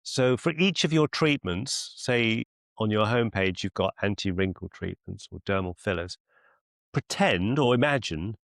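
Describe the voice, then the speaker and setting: A man coaching one-to-one on a video call as a mentor, deliberate and encouraging, often repeating phrases for emphasis. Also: on the low side, a bit bright, no room sound, studio quality. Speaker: business mentor and clinician.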